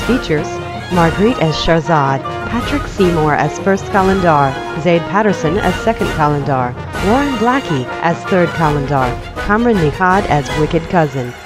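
A woman's voice: lowish voice, low-quality recording. A woman reading scripted end credits as the announcer of an audio drama. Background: music.

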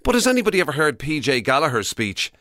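A man's voice: on the high side, very animated, quick pace, bright, forceful tone, no echo, clean studio sound. An Irish man co-hosting a radio show in casual, bantering conversation.

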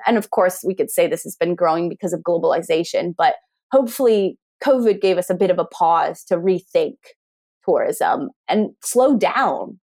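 A woman's voice: mid-range voice, a little mellow. American woman academic being interviewed, reflective, questioning. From United States. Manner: thoughtful, asks rhetorical questions, frequent restarts. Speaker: tourism academic guest. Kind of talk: conversational interview.

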